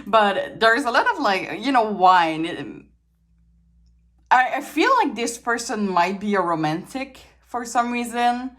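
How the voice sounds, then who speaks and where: A woman's voice: fairly high pitch, expressive, takes it slowly, a bit bright. A woman from Quebec with a Quebec French accent, French as her first language, giving a casual tarot reading at a table, chatty, laughing often and restarting her sentences, a little tired. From Quebec, Canada. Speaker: tarot reader. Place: indoors at a table with trinkets.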